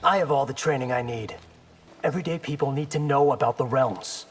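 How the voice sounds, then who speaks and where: A man's voice: medium pitch, fairly quick, soft background music, natural voice. A man playing a wry, self-assured young crime fighter in a fighting game, dry and defiant, in cavernous reverb. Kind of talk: fighting game dialogue acting.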